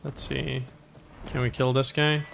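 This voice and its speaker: A man's voice: medium pitch, flat delivery, unhurried, vocal fry, background noise. A man streaming a video game, casually thinking aloud as he comments on his play for himself and viewers. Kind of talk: casual live gameplay commentary. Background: game music.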